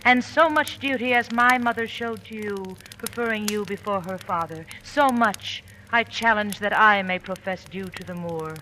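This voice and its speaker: Actress as dutiful young noblewoman, steadfast, formal, bright tone, vintage radio drama, faint noise. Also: mid-range voice, moderate pace, few pauses, lightly acted. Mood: earnest, respectful. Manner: formal, earnest, poised. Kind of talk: 1940s radio Shakespeare acting. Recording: faintly noisy old radio broadcast.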